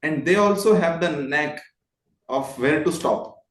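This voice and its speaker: A man's voice: on the high side, expressive, a little slow, a bit bright. A man with an Indian accent explaining things in an interview, politely and expressively, with illustrative examples. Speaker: guest, voice modulation trainer. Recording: roomy, echoing sound.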